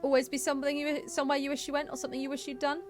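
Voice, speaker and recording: A young woman live streaming with casual game commentary, musing out loud in a chatty, informal way. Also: sits high, little pitch movement, racing, soft background music. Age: young adult.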